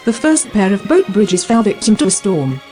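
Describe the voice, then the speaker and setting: A woman giving scripted documentary narration in a clear, explanatory way. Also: mid-range voice, sing-song, normal speed, dark tone, soft background music.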